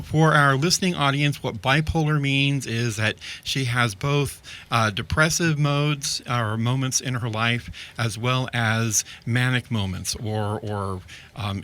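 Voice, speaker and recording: A man's voice: mid-range voice, bright, forceful tone. A man hosting a community radio interview show, reading a scripted opening and then chatting upbeat with his guest.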